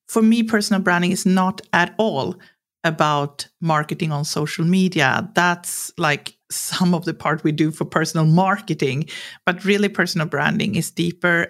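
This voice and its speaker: A woman in her forties from Sweden with a Swedish accent, speaking English as a second language as a podcast guest, telling personal stories candidly and acting out what people said. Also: on the low side. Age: 40s. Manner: animated, acts out quoted lines, laughs while talking. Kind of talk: candid conversational storytelling.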